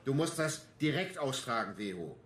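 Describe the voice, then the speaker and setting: Gruff, embittered detective for a radio crime play, coarse and combative, swinging delivery, roomy sound with faint noise. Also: male voice, medium pitch, sing-song, normal speed, a bit bright. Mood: combative, defiant. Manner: blunt, coarse, colloquial. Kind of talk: German radio crime drama acting.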